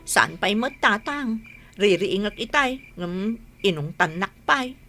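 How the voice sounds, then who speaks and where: A woman's voice: medium pitch, lively intonation, slow pace, sharp tone, soft background music, heavily acted. A woman playing a concerned, inquisitive young village daughter in a radio comedy drama, questioning and emphatic.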